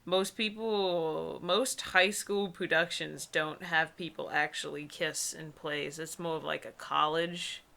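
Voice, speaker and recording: Bright-voiced young woman, slightly low, opinionated. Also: slow, creaky, few pauses. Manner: animated, opinionated, sarcastic asides. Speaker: co-host of a comedic book-reading show. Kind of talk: casual chat mixed with mocking dramatic reading.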